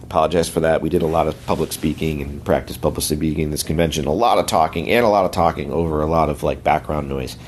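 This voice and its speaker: A hoarse middle-aged man from the United States, rambling in a friendly way as a podcast regular and sharing tips. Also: extremely low pitch, fairly even intonation, quick pace, hardly any pauses, slight background noise. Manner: rambling, friendly, self-correcting. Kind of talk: casual podcast segment.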